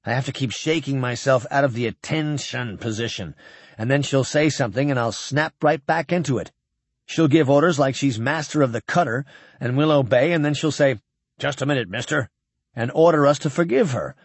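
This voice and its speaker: A man's voice: mid-range voice, normal speed, no room sound, close to an everyday voice. A man voicing a wry, easygoing young naval midshipman who talks chattily in a science fiction audiobook. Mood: bemused, chatty.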